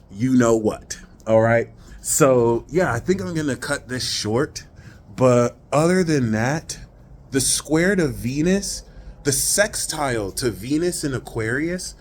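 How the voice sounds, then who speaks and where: A man talks through an astrology forecast in a loose, conversational way, dropping playful slang along the way. His voice sits at an ordinary middle pitch. A faint hum of room ambience stays behind him. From New Jersey. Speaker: astrology reader. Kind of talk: casual astrology forecast monologue.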